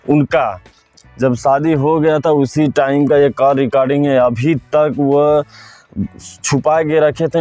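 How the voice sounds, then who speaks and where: Male gossip-channel host, Bhojpuri-flavoured Hindi, medium pitch, repetitive and viewer-directed, light music bed. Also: darkish tone, no room sound. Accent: Bhojpuri-influenced Hindi. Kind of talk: informal gossip commentary to viewers.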